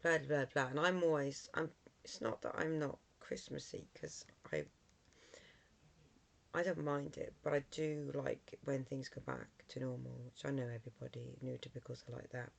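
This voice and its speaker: Hoarse, gravelly-voiced middle-aged vlogger. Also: mid-range voice, fairly even intonation, moderate pace. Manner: rambling, many ums and restarts. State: hoarse from a cold. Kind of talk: casual talk to camera.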